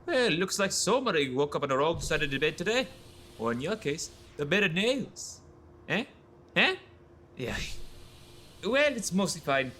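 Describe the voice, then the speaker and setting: A high-voiced, chipper scientist in a sci-fi cartoon audio drama. His delivery swings up and down, chatty and jokey with a shifty edge. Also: sing-song, normal speed, long pauses, pushed and bright, a little hiss or hum.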